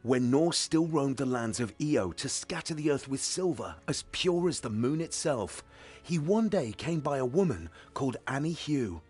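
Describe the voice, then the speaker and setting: A reverent fantasy swordsman for a game. His voice is rough and husky, with a formal, solemn cadence and lots of melodic movement. Faint music plays underneath. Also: mid-range voice, animated, moderate pace, a little mellow. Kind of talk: fantasy game cutscene acting.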